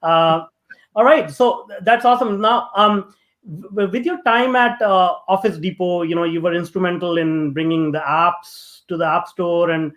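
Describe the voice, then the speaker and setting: Man, high voice, podcast host, formal intro reading, casual questions. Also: normal speed, fairly bright tone. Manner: reads a long formal introduction, then asks questions casually with fillers. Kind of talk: reading a guest introduction, then interview chat.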